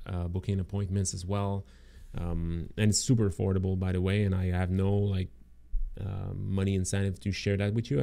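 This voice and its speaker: Throaty man pitching on a livestream. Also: creaky, mellow. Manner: rambling, many fillers and restarts. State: losing his voice, throaty. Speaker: agency owner presenting course bonuses. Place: home room indoors. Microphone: close studio mic in frame.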